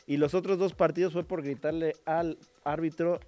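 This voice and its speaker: A man co-hosting a football talk show, opinionated and animated. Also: a little high, normal speed, no room sound, faint music behind. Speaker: sports show co-host. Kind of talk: casual sports talk.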